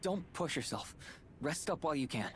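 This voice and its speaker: Animated, earnest young male hero. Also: on the high side, normal speed, slight background noise. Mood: gentle, concerned. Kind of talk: video game cutscene acting.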